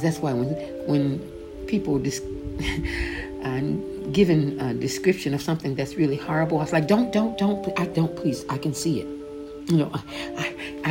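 Low-voiced woman reading devotionally. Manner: earnest, conversational, addresses listener as beloved. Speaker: author and podcast host. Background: background music.

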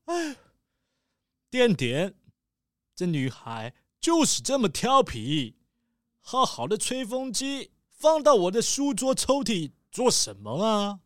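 A performer voicing an excitable, theatrical rich male tycoon in a children's audio drama, with soft music underneath. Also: mid-range voice, slow, no room sound, studio quality. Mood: flustered. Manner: animated, exclaiming, theatrical.

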